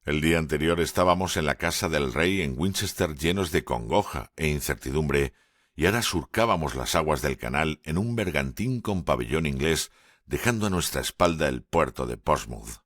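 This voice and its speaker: A man narrating an audiobook in the first person, in a measured, steady reading. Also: rumbling low, dry recording, clean studio sound.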